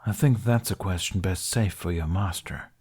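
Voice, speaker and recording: A man voices a worn older male knight with a lowish, measured tone. He keeps his words short and guarded, holding back what he feels. A storybook hero read aloud. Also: a little mellow, breathy edge. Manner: clipped, guarded.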